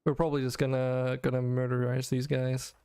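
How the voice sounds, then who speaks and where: Gravelly-voiced Danish man streaming a game. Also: medium pitch, level tone, brisk, mellow. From Denmark. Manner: rambling, many fillers and restarts. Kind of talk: casual game stream talk to chat.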